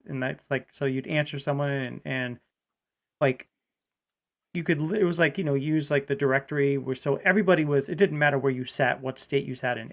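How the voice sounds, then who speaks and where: A man from Rhode Island hosting a casual livestream, talking in a loose, rambling way. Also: medium pitch. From Rhode Island, United States. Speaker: live show host.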